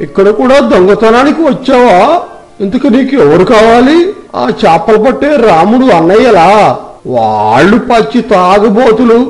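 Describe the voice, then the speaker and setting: A man voicing a suspicious, gruff old villager who questions warily in a moral story. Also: high-pitched, big pitch swings, moderate pace, darkish tone, poor audio. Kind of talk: animated moral story dubbing.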